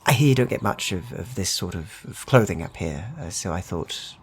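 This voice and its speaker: A man playing a chatty travelling cloth merchant in a tabletop roleplay, halting and polite as he talks. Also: low-pitched, lively intonation, husky. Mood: eager, hesitant.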